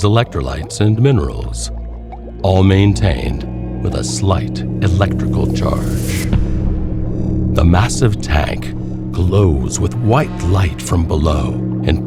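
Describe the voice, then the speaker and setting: A man narrating a scripted horror story in a deliberate, suspenseful way, with dramatic pauses. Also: low voice.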